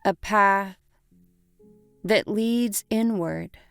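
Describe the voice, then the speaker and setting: A woman narrating a guided imagery meditation in a gentle, soothing way, in short deliberate phrases with long pauses. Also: mid-range voice, creaky, clean tone, no room sound. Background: instrumental music.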